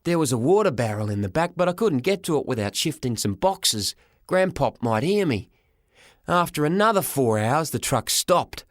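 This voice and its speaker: A man narrating a children's story in the first person as an expressive audiobook reader. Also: on the high side, normal speed, no echo, studio quality.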